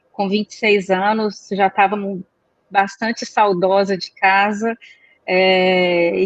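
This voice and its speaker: A woman, a guest answering interview questions in a measured, reflective way. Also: medium pitch, no echo. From Minas Gerais, Brazil. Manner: measured, reflective, searching for words. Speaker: guest, chamber of commerce executive. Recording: dry, dull recording.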